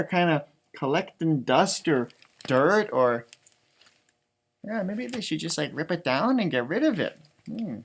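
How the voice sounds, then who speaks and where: A man reading a storybook aloud to children as a teacher, expressively, with informal explanatory asides. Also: sits high. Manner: expressive, explains words and pictures simply for children.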